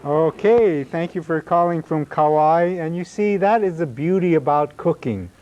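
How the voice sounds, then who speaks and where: A man's voice: fairly high pitch, a little slow, darkish tone. A man hosting a call-in show, taking callers in a friendly way. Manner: friendly, repeats call-in prompts. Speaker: host. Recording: dull lo-fi broadcast recording.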